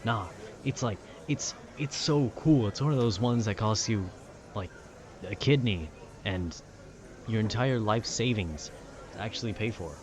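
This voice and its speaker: A man casually rambling about a video game with nerdy enthusiasm, often halting to search for words. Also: fairly low pitch, noisy background. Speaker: voice actor making a rambling ambience video.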